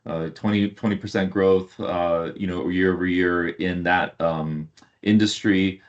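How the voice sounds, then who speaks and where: A man speaking as a company executive on an earnings call, with a halting, hesitant delivery full of repeated words. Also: sits low. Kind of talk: earnings call presentation and answers. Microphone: online conference call. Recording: dull lo-fi online call audio.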